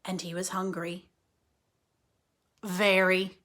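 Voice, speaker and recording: A woman reading a story aloud to children like a teacher, expressively, pausing to explain things simply and check in with her listeners. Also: on the low side, little pitch movement, fairly bright tone, a little hiss or hum. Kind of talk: animated read-aloud storytelling with explanatory asides.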